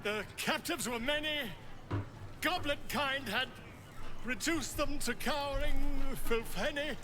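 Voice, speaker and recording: Pompous, very high-voiced bard. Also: male voice, slow, over music. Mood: grandiose. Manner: pompous, florid, declaiming. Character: pompous bard reciting overblown heroic verse. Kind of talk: theatrical verse recital in fantasy game.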